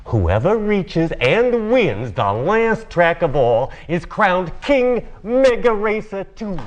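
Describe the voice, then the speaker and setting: An actor playing a smarmy, gleefully sadistic host of a futuristic TV race show, theatrical, mock-cheerful and sardonic. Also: male voice, high voice, big pitch swings, slow pace, dull audio, faint music behind, a faint crowd, full character voice. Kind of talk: theatrical game show presenting.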